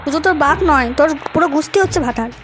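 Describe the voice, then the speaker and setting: A man voicing a hapless, hot-headed young troublemaker in an amateur comedy cartoon, whiny and excitable, with a raised-pitch effect. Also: rapid-fire, loud music behind the voice. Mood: quarrelsome.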